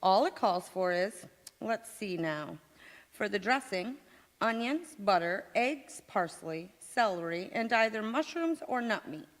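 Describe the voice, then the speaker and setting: A woman's voice: mid-range voice, expressive, a little slow, close to an everyday voice. A woman playing a breezy, naive, overconfident housewife in a vintage radio comedy on stage. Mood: breezy, confident. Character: scatterbrained, cheerfully overconfident housewife in a radio sitcom. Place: large indoor hall, live stage performance. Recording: reverberant church hall stage recording.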